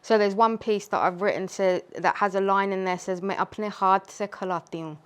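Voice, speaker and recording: A woman's voice: mid-range voice, fairly even intonation, moderate pace, clean tone. A woman poet whose first language is Urdu, talking earnestly and reflectively in a group discussion. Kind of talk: casual discussion. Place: community space.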